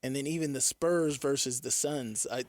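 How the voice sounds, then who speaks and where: A man's voice: medium pitch, moderate pace, darkish tone, no echo. A man co-hosting a sports radio show, chatting casually about games and players. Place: radio studio.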